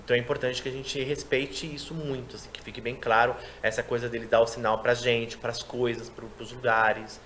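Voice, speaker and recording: A man who works as a sign language interpreter, chatting in an interview and explaining his work in a lively way. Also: medium pitch, slight background noise. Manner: quick, explanatory, animated delivery. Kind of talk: casual interview chat.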